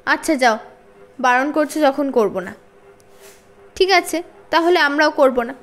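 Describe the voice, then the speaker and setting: A woman's voice: high-pitched, animated, unhurried. A woman voicing cheeky, defiant schoolboys in a children's storybook reading, boastful and bold. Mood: grudging.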